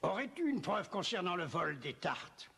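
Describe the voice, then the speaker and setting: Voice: mid-range voice, big pitch swings, normal speed, gravelly, heavy background noise. An actor voicing a pompous, blustering king who sits as judge, hemming between pronouncements in a cartoon. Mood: stern. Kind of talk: French-dubbed cartoon acting.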